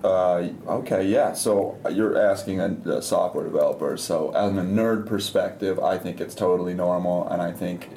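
A man's voice: deep voice, fairly even intonation, moderate pace, few pauses, dark tone. A young man chatting casually as a podcast guest, slangy and joking. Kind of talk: casual chat. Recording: noisy, roomy sound.

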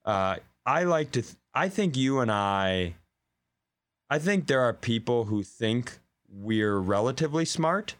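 Man, stand-up comic, mid-pitched voice, casual podcast storytelling, incredulous riffs, swearing. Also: lively intonation, a little slow. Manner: profane, incredulous, riffing asides. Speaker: comedy podcast co-host; stand-up comedian. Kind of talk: casual comedic storytelling and banter.

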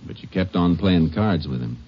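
An actor playing a calm, shrewd Texas Ranger in a 1950s radio western, speaking in a terse, matter-of-fact way. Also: male voice, low-pitched, moderate pace. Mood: terse, probing. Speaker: lead actor and narrator. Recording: dull vintage 1950s radio recording.

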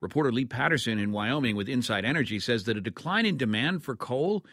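A man's voice: mid-range voice, expressive, fast talker, a bit bright, no room sound, studio quality. A man hosting a radio news interview. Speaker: radio host.

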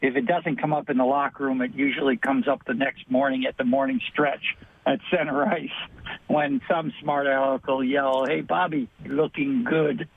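Gravelly man on a tinny phone line. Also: mid-range voice, steady tone, normal speed, no echo. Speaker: guest, former hockey player. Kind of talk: casual interview chat.

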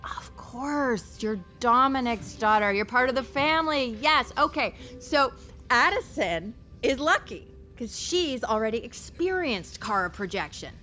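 A woman's voice: a little high, expressive, unhurried, bright, forceful tone, soft background music. A woman playing a peppy, teasing corporate tour guide with bubbly enthusiasm in an audio drama. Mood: friendly, chatty. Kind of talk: audio drama acting, addressing a school group.

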